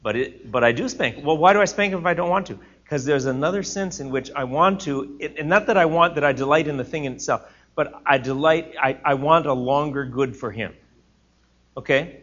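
A man's voice: fairly high pitch, fairly quick, fairly bright tone, muffled recording. A man leading a class discussion, explaining earnestly and fielding questions from students, thinking aloud with restarts.

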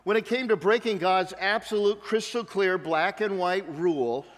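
A man's voice: high voice, normal speed. A man preaching a sermon as pastor, emphatic and rhetorical, then closing in prayer. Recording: long reverb of a large hall.

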